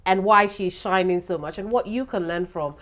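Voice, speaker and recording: A woman hosting a TV talk show, upbeat and animated. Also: on the low side, moderate pace. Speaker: host.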